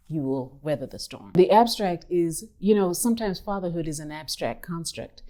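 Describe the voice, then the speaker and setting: A woman from Kenya in her fifties, an artist who speaks thoughtfully in an interview, pausing and rephrasing as she goes. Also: low voice, mellow. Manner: thoughtful, measured, with pauses and restarts. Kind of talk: reflective interview talk.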